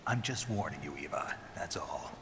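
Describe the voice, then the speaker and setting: Gruff, terse covert soldier. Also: male voice, lively intonation, moderate pace, slight background noise. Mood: guarded, cautioning. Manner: terse, guarded, low-key, grunting replies. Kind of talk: cinematic video game voice acting. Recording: long reverb, very loud music behind. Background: loud cinematic game music.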